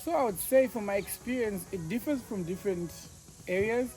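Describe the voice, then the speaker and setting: A man's voice: high voice, a little fast, a little mellow, soft background music, low-quality recording. A man from Tanzania whose first language is Swahili, a guest on a vlog, talking outdoors by a lake in a thoughtful, hesitant way. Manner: thoughtful, hesitant, with fillers and restarts. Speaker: guest, travel vlogger. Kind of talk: casual chat.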